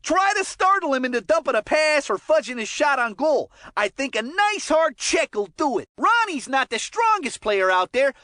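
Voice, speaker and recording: Cartoon game role: young hockey commentator, bright forceful tone, dry close recording, folksy and eager. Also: high voice, no room sound, exaggerated voice. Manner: enthusiastic, folksy catchphrases. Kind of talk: scripted sports commentary.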